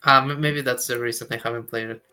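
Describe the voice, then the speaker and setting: A man co-hosting a live stream, reading viewer questions aloud and reacting excitedly. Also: medium pitch, quick pace. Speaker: co-host community manager. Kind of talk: casual live stream chat.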